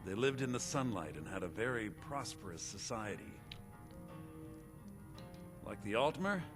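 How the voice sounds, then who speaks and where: An actor playing a knowing, earnest male elven wizard scholar in a fantasy video game, speaking in a measured, expository way. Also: lowish voice, sing-song, music in the background. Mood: lecturing.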